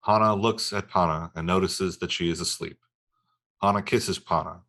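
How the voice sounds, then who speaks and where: Man, low-pitched voice, narrator, scripted stage directions, table read. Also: fairly even intonation, moderate pace, vocal fry, fairly bright tone.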